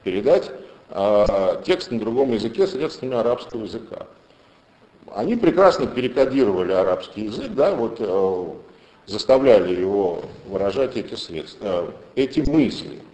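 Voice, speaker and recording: Man, academic lecture, animated rising and falling voice, mellow tone, thinking aloud with restarts. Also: lowish voice, normal speed. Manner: thinking aloud, many fillers and restarts, emphatic rises. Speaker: lecturer on philosophy of language. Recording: roomy echo.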